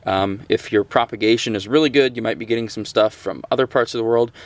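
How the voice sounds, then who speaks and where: A man reviewing a gadget in a casual, unscripted, conversational and somewhat halting way. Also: medium pitch, dry recording. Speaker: gadget reviewer, host of a radio channel.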